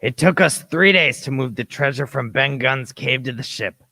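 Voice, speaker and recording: Man as an earnest adventurer narrator, crisp bright tone, gravelly edge, dramatic radio play storytelling. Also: medium pitch, normal speed, dry recording. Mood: earnest, recounting. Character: earnest grown Jim Hawkins recalling his boyhood adventure.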